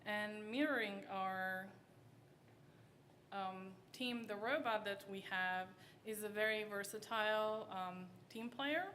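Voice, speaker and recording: Soft-spoken woman pitching hesitantly. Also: steady tone, slow pace, slight background noise. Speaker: startup team member pitching. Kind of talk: presentation pitch. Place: event hall.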